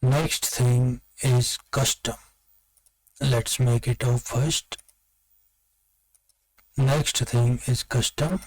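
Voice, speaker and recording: A man narrating step-by-step software instructions as a tutorial presenter. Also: medium pitch, fairly even intonation, extremely slow, long pauses, dry recording. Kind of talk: instructional screen-recording narration.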